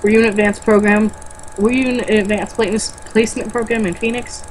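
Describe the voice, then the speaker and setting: A woman voicing a skeptical, questioning male high school science teacher in an amateur novel read-along. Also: steady tone, a little fast, slight background noise, natural voice.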